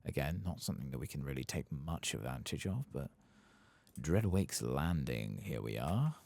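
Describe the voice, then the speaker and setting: A man giving soft-spoken ASMR commentary over a video game, murmuring and sometimes slipping toward a whisper. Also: a bass voice, lively intonation, moderate pace, no room sound. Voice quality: soft, husky, gravelly. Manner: murmuring to himself, partly whispering.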